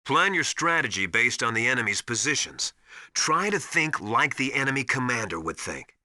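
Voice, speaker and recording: An actor playing a stern veteran military survival instructor in a video game, briskly lecturing with emphatic, commanding authority. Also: male voice, mid-range voice, very animated, bright, forceful tone, no room sound, clean studio sound. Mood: hard-nosed, commanding. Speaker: mission survival adviser. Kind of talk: video game voice acting, instructional radio briefings.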